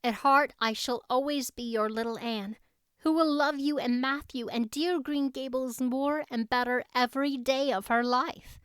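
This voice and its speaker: Lady doing an eager young woman in an audiobook, high voice, super earnest and tender, really clear. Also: fairly even intonation, normal speed, fairly bright tone, dry recording, studio quality. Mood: earnest, loving. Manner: effusive, earnest.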